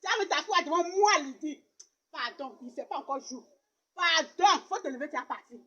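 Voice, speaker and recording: A woman's voice: sits high, expressive, a little fast, bright, forceful tone, highs cut off. A woman playing a poor, fiery young woman who scornfully turns away a suitor, her emotional voice often breaking into sobbing laughter. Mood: mournful.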